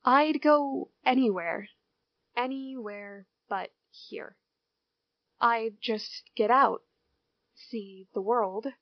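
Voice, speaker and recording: A young woman playing a bored small-town teenage girl in an audio drama, talking slowly and wistfully. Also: on the high side, no room sound. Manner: slow, wistful, turning wondering. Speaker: lead character and narrator. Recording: dull lo-fi recording with music.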